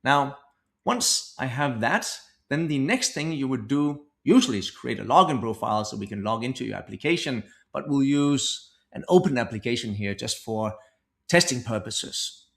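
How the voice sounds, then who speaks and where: A man giving a conversational software product demo, explaining informally step by step. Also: medium pitch, lively intonation, moderate pace, vocal fry.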